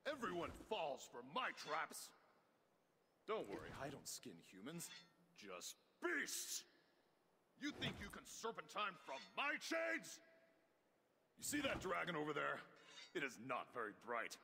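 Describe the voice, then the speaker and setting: An actor playing a boastful, swaggering monster hunter in a video game, with a cocky, theatrical delivery. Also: male voice, on the high side, huge pitch swings, unhurried, gravelly, pauses often, bright, forceful tone, in a large hall, a little hiss or hum, exaggerated voice. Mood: boastful joking. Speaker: game character voice lines.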